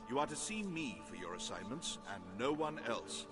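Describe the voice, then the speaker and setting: An actor playing a stern, formal court official in a fantasy video game, using measured archaic diction. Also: male voice, mid-range voice, big pitch swings, over music, lightly acted. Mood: stern, commanding.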